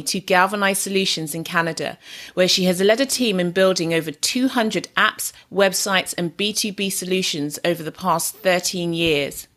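A woman's voice: on the low side, a bit bright. A woman doctor hosting a podcast, reading a formal scripted introduction and asking questions. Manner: formal, reads a prepared script.

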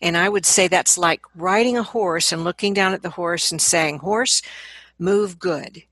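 A middle-aged woman, a vocal coach, explaining things conversationally as an interview guest, in an animated manner. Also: fairly low pitch, measured pace, husky, no echo.

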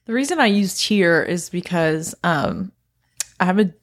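A woman with an Appalachian accent chats casually as a podcast co-host, her voice sitting low for a woman. She talks easily and throws in short agreements while her partner speaks. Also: a little slow, a little hiss or hum. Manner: relaxed, chiming in with short agreements. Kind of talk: casual chat.

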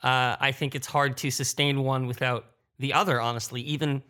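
A man's voice: mid-range voice, fairly even intonation, normal speed, pushed and bright. A man speaking candidly in a casual roundtable discussion, thinking aloud and correcting himself as a creator on the panel. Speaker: audio drama creator and sound designer, roundtable panelist.

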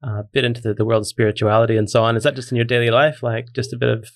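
A man's voice: mid-range voice, level tone, rapid-fire. A man with a Canadian accent interviewing a guest in casual talk, hesitant, with many ums and restarts.

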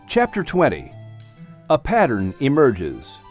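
A man's voice: mid-range voice, wildly swooping pitch, takes it slowly, faint music behind. A man reading scripted narration as an audiobook storyteller and announcer.

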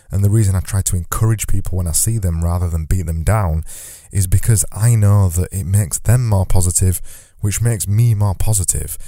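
Podcast host, male, low dark voice, earnest and reflective, dry close sound. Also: dry recording. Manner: earnest, reflective, says he lifts his normally monotone voice. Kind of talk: solo podcast monologue.

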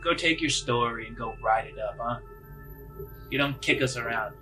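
A man's voice: mid-range voice, moderate pace, over music, natural voice. A man playing a dismissive, jaded guard officer who talks in a condescending, needling way.

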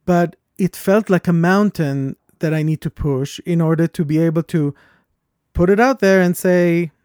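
A man with a foreign accent co-hosting a podcast, talking in an earnest and reflective way that turns animated when he tells a story. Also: fairly high pitch, moderate pace, no room sound, clean studio sound. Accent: foreign accent, origin unstated. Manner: earnest, thoughtful, animated when telling stories. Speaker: podcast co-host. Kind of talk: reflective conversation.

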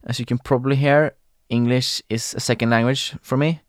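A young man from Norway hosting a podcast in English with a Norwegian accent, talking casually with frequent pauses and fillers. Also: medium pitch, fairly even intonation, no room sound, clean studio sound. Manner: hesitant, many fillers and restarts, laughs often. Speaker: podcast host. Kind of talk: casual solo podcast talk.

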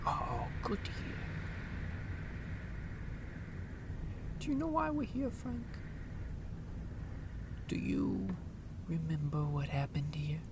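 A man's voice: fairly high pitch, big pitch swings, takes it slowly, pauses often, noisy background, heavily acted. A vengeful, grieving gangster father, wildly swinging voice. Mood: menacing. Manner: menacing, emotional, swearing. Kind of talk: audio drama acting.

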